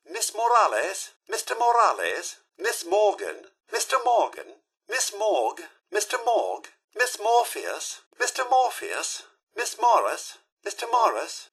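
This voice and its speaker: A man's voice: high-pitched, bright, forceful tone, husky, exaggerated voice. A man voicing a polite, fussy robot butler in a video game, with a formal, theatrical way of addressing people. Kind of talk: video game voice lines, reciting names as greetings.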